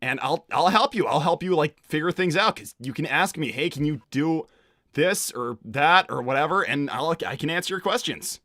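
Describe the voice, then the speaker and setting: Man voicing casual bright-voiced young cartoon hero, slightly husky. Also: a little high, expressive, normal speed, no room sound, clean studio sound. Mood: helpful. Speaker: performer voicing game characters on a fan livestream. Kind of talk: improvised in-character livestream roleplay.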